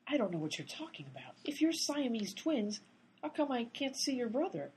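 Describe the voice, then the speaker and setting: A woman's voice: on the high side, sing-song, normal speed, a little mellow, a little hiss or hum. A woman playing an earnest, literal-minded amateur detective in a radio comedy, insistent and pedantic. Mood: argumentative.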